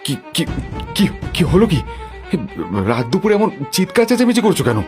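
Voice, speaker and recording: A man's voice: sits high, sing-song, moderate pace, music in the background. A man playing a dismissive, short-tempered young husband in a cartoon story. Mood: irritated. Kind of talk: animated cartoon story dialogue.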